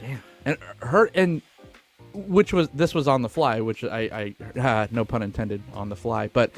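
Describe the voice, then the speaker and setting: A man chats as co-host of a film review podcast in a mid-pitched voice. His talk is casual and profane, with music playing underneath. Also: measured pace.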